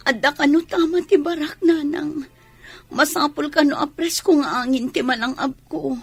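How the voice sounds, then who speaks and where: A woman's voice: high-pitched, steady tone, moderate pace, no room sound, faint music behind. A woman acting a sickly, apologetic young daughter in a radio drama, with a Filipino accent. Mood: reluctant.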